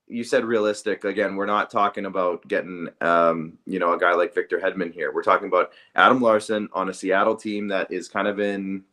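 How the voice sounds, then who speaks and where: A man's voice: low voice, a bit bright. A man co-hosting a sports podcast, talking casually and conversationally with some hedging. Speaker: hockey podcast co-host.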